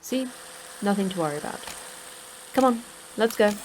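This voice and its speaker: A woman's voice: mid-range voice, lively intonation, normal speed, lightly acted, low-quality recording. An actress playing the terse, dry, matter-of-fact female manager of a secret monster facility in a sci-fi horror audio drama. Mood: reassuring. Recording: clear background noise.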